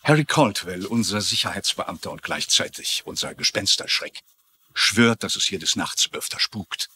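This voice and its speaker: An actor playing a smooth, courteous office boss, speaking formally in a dubbed TV drama. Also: male voice, low-pitched, lively intonation, normal speed, pushed and bright, slight background noise. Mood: suave.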